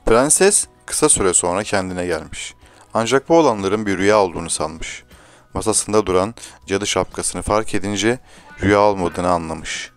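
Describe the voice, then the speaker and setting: A man's voice: deep voice, normal speed, soft background music. A man reading a scripted fairy tale to children as a storyteller.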